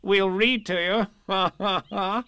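A man's voice: high voice, sing-song, normal speed, vocal fry, pushed and bright, no echo, voice pushed up, full character voice. A man voicing a polite, fussy, know-it-all rabbit in a storybook, with a prim, clipped, superior manner. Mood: cheerful.

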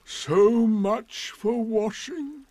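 A man voicing a gloomy old male donkey who grumbles in a slow drawl. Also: high-pitched, warm tone, voice pushed up. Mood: grumbling.

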